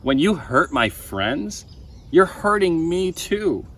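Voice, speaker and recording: A young man voicing a loud, commanding divine figure in a storybook, speaking in a solemn, emphatic way. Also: on the high side, sing-song, slow, slightly rough, distant mic, voice pushed up, exaggerated voice. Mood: solemn, firm. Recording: outdoor ambient noise.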